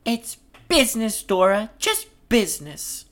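A woman's voice: mid-range voice, big pitch swings, unhurried, fairly bright tone, pitched above the performer's own voice. A woman playing a coy, breezy young woman from a stage musical, with a sing-song theatrical delivery. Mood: brisk, dismissive. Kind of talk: amateur stage script read-through.

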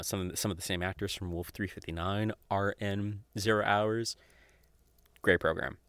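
A man's voice: low-pitched, fairly bright tone, smooth, no echo. A man hosting a podcast, rambling casually and enthusiastically through recommendations, informal and sweary, often with a chuckle in his speech. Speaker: podcast host. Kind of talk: casual rambling monologue recommending audio dramas.

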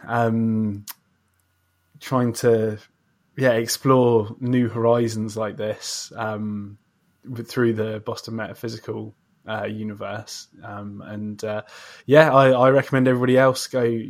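A man's voice: mid-range voice, level tone, a little slow. A man hosting a casual interview podcast, speaking in an effusive, readily agreeing way.

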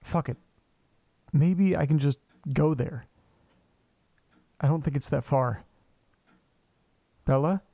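An actor playing a restless, sweary man who mutters to himself and his pets in a naturalistic horror audio drama, casual and deadpan. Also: on the high side, slow, creaky, pauses often, no echo, full character voice. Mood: casual, resolved.

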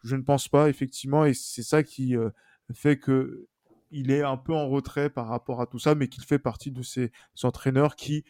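Medium-pitched man, dry close sound. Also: a little mellow, dry recording. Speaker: football podcast panelist. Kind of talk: reflective podcast discussion.

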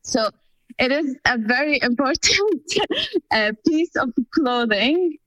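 A woman's voice: high voice, animated, slow, fairly bright tone, dry recording, lo-fi sound. A woman of Palestinian family background, answering interview questions in an earnest, explanatory way with many fillers. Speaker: guest.

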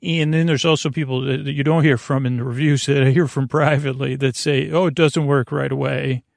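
A middle-aged man with a creaky, gravelly voice hosting a bedtime podcast, rambling haltingly with frequent restarts and digressions, recorded in a small closet at home. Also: medium pitch, steady tone, no echo, clean studio sound. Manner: halting, digressive, constantly restarting mid-thought. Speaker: host of a bedtime sleep podcast. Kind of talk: rambling, meandering bedtime monologue.

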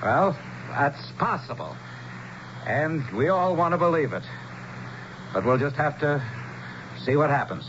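An actor playing an earnest, cautious town leader who addresses anxious townsfolk haltingly in a radio drama. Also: male voice, a little high, very animated, a bit bright, slight background noise. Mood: hopeful, calming. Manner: halting, repetitive public address. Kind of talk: 1970s radio drama acting. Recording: dull old radio broadcast.

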